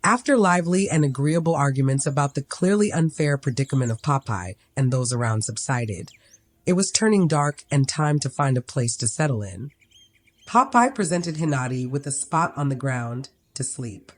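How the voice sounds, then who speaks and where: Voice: medium pitch, lively intonation, normal speed. A narrator of ambiguous sex reading a scripted dramatic story. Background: music.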